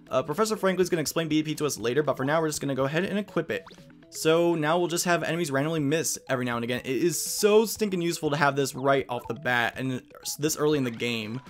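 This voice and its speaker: A man giving casual, chatty let's play commentary with slangy enthusiasm. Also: on the high side. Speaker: gaming video host.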